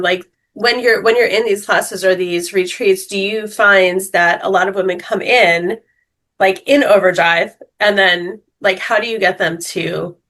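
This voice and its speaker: Woman, podcast co-host, halting, rambling question, mid-pitched. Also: fairly bright tone. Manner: hesitant, rambling with fillers and restarts. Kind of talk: conversational interview question.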